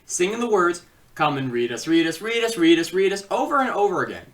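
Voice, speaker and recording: A woman's voice: lowish voice, very animated, brisk, far from the mic. A woman giving a casual, comedic review with sarcastic, rambling asides. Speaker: video reviewer.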